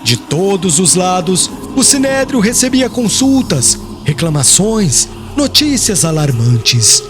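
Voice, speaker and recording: A man's voice: high-pitched, sing-song, slow. A man reading a religious audiobook as narrator, in a solemn, literary and carefully phrased manner. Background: music.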